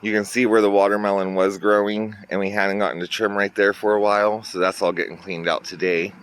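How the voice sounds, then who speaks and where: Brief: man, low voice, chatty garden vlogger, slightly congested, outdoors on a phone mic, faint traffic behind. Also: level tone, brisk, fairly bright tone. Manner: chatty, pointing things out to viewers. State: a little congested. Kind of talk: casual garden walkthrough. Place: outdoors in a garden near a road. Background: faint traffic and outdoor ambience.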